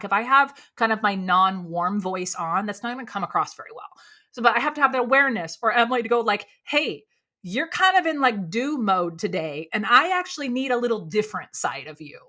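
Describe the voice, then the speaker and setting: Bright, animated woman talking business. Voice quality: low voice. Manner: animated, quick, peppered with "like". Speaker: podcast guest, speaking coach. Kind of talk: candid conversational interview.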